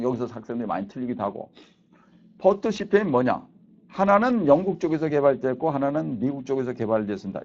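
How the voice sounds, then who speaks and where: A man's voice: medium pitch, a little mellow. A man in his fifties lecturing as a university professor, explaining concepts didactically and repeating key points. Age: 50s. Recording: dull lo-fi lecture recording.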